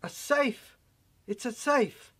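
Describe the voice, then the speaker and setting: Husky woman, theatrical fragmented reading. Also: fairly high pitch, expressive, slow, a bit bright. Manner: fragmented, theatrical delivery in short broken phrases. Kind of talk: dramatic reading of an experimental audio screenplay.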